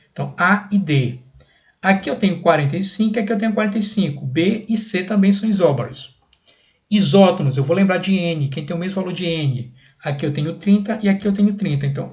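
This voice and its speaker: A man with a Brazilian accent teaching a recorded lesson, explaining exercises step by step with repeated steps and frequent check-in questions. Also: a little high. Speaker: chemistry teacher.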